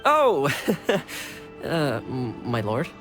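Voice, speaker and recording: Animated, good-natured young retainer. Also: male voice, medium pitch, drawn-out words, music in the background, full character voice. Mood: flustered. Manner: expressive, deferential. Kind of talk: scripted video game cutscene acting.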